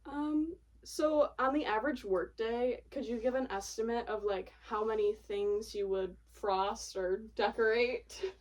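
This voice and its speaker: A young woman with a fairly high voice hosts a relaxed, conversational podcast interview. She is informal and agreeable, and sounds slightly away from the microphone. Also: measured pace, far from the mic. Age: young adult. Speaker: podcast host interviewing a friend.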